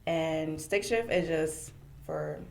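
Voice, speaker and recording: A young woman whose first language is French, a podcast guest chatting casually and thinking aloud with pauses. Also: low voice, gravelly, a little mellow. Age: young adult. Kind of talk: casual chat.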